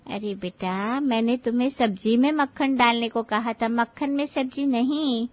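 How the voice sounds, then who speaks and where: A woman voicing a kind, gentle older aunt who patiently advises young wives in an animated moral story. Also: fairly high pitch, moderate pace, highs cut off, natural voice. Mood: gently explaining. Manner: gentle, reassuring, explanatory.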